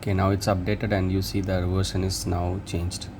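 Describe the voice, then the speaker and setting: A man talking through a software update step by step, hesitant and halting, often restarting words. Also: deep voice, monotone, creaky. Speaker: tech tutorial presenter. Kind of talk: screen-recorded how-to walkthrough. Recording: noisy room, hum and traffic behind.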